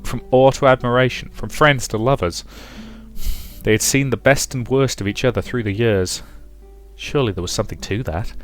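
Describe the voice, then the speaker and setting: A man's voice: mid-range voice, moderate pace. A man reading a visual novel aloud as a let's player, mixing dramatic narration with casual commentary. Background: game music.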